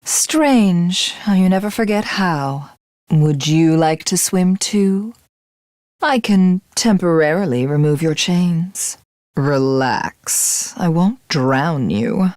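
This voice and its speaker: A woman voicing a proud, cold warrior commander in a video game, speaking in a formal, commanding and measured way. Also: fairly low pitch, lively intonation, a little mellow, no room sound, studio quality. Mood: calm, philosophical. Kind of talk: video game character voice acting.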